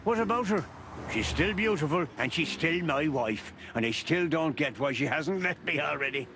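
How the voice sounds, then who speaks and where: A man's voice: on the high side, lively intonation, normal speed, sharp tone. An actor playing a jovial, stubborn old beaver in a video game, with an Irish accent and a teasing, folksy, fatherly manner. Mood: fond, wry. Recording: music under the voice.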